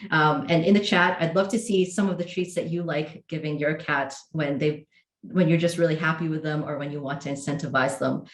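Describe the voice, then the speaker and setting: A woman hosting a virtual hangout on cat training, talking casually with many fillers and restarts. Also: sits low. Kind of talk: casual livestream talk. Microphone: video call. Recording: roomy echo.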